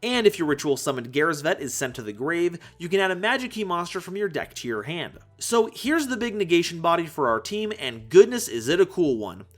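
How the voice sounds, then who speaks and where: A man presenting scripted card game commentary in an animated, playful way, with conversational asides. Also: a little high, brisk, few pauses, soft background music. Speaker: host of a card game analysis channel.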